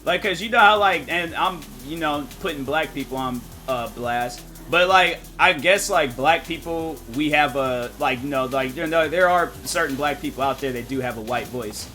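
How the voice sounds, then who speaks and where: A man streaming a game and chatting casually, rambling and thinking out loud with lots of fillers. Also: a little high, moderate pace, few pauses, faint music behind. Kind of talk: casual live-stream chat.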